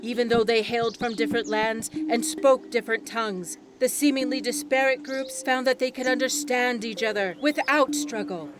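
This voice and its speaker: A woman's voice: a little high, steady tone, natural voice. Woman as a solemn, devout island priestess storyteller. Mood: solemn, reverent. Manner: reverent, measured oratory. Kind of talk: ceremonial storytelling in an audio drama.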